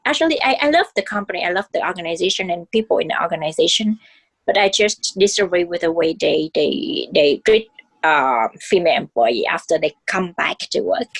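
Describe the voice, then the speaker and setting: A woman's voice: lowish voice, expressive, fairly quick, poor audio. A woman host from Vietnam with a Vietnamese accent, speaking candidly and hesitantly in casual conversation, with many fillers and repeats.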